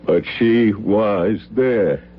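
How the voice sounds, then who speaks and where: A man's voice: on the low side, very slow, a little hiss or hum. A man acting a shaken, suspicious friend of a dead man in an old-time radio drama. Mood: agitated, suspicious. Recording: dull old radio recording.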